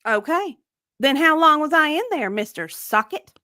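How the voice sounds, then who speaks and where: Casting: woman performing indignant female deposition witness, evasive, insistent, lively swooping intonation, close dry sound. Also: sits high, normal speed, no echo. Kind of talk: dramatic reading of a deposition transcript.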